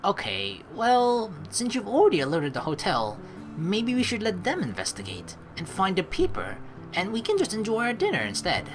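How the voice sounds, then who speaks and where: A woman's voice: medium pitch, wildly swooping pitch, normal speed, soft background music. A woman let's play streamer reading game text aloud and adding chatty commentary.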